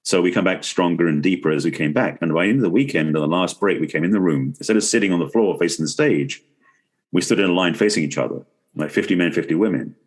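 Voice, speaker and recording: Guy on a podcast, really low husky voice, talks fast, earnest explainer type. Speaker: podcast guest, relationship expert and author. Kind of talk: conversational interview, explaining his work.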